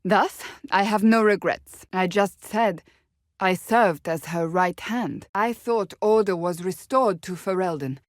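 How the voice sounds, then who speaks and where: A woman's voice: mid-range voice, unhurried, no echo, clean studio sound, lightly acted. An actress playing a stern, devout warrior woman in a fantasy video game, speaking in a formal, earnest, blunt way. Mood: solemn, firm. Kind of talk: dramatic fantasy game acting.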